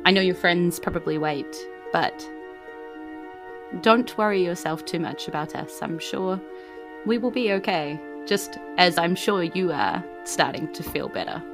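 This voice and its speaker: A woman playing a gentle, cautious healer and potion seller in a tabletop game, with halting, measured phrasing. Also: on the low side, music in the background. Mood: reassuring.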